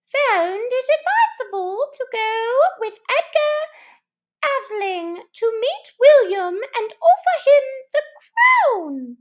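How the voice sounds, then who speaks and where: A self-important little storybook mouse, declaiming in a very high, swooping voice. The delivery is slow and grand, with an irritable, commanding edge. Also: female voice, full character voice. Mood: pompous, hurried. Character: pompous, bossy, easily irritated mouse of authority. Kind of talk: acted children's storybook reading.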